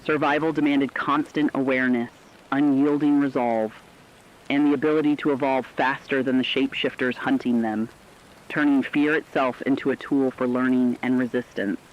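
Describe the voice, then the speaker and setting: A man giving dramatic scripted science-fiction narration with a serious, deliberate delivery. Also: medium pitch, fairly even intonation, highs cut off.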